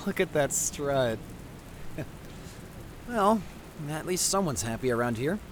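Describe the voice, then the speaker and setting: A woman's voice: low voice, big pitch swings, takes it slowly, noisy background, heavily acted. A woman voicing a cheeky, chatty young winged messenger god in a fantasy audio drama, breezy and sarcastic. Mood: playful, curious.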